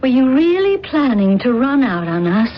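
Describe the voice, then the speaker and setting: A woman's voice: sits high, big pitch swings, normal speed. An actress playing a blunt, emotional, free-spirited young woman in love, in a radio drama. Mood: wounded, bitter. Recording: muffled radio drama recording, noisy background.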